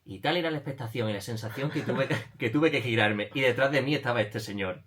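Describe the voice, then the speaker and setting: A man's voice: medium pitch, fairly quick, fairly bright tone. A man with an accent from Spain hosting a casual entertainment interview in a jokey, giggly manner. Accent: Spanish (Spain). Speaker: radio show interviewer.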